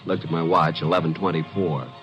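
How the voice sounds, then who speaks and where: Wisecracking low-voiced radio detective's sidekick. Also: male voice, fairly even intonation, a little fast, music in the background, rough recording. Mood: wry narration. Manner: wry, breezy, slangy. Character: wisecracking, flirtatious detective's assistant who narrates the tale. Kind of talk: old-time radio detective drama acting with narration. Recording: vintage radio drama recording.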